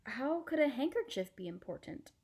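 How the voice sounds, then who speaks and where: A woman's voice: sits high, sing-song, normal speed, darkish tone, slightly rough, pitched above the performer's own voice, heavily acted. A woman voicing an earnest, curious young girl in a storybook, eager and questioning.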